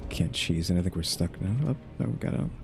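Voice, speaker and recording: Low-voiced man musing over a game. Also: animated, a little fast, creaky, over music. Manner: rambling, musing aloud with questioning asides. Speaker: gaming streamer. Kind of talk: casual game playthrough commentary.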